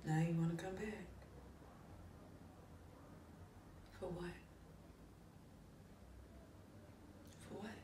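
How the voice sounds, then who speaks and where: A woman's voice: lowish voice, steady tone, quick pace, mellow, natural voice. A woman acting a dramatic stage monologue as an embittered, wounded young mother, raw and emotional, dropping into near-whispers. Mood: bitter, accusing. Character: embittered, wounded young mother confronting her child's absent father. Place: echoey room. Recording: echoey room, distant mic, faint noise.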